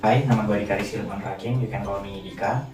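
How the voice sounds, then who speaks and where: A man in his twenties, a student, talking in a relaxed, slangy, emphatic way. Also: lowish voice, quick pace. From Indonesia. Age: 20s. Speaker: student interviewee. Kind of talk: casual student interview. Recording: roomy distant mic with clear music.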